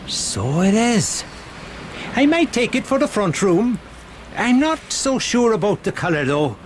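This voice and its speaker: An actor playing a genial, chatty Irish police inspector with a wry, jovial manner in a radio drama. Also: male voice, high-pitched, sing-song, measured pace. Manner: jovial, wry, lilting and talkative. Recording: outdoor ambience with clear background noise.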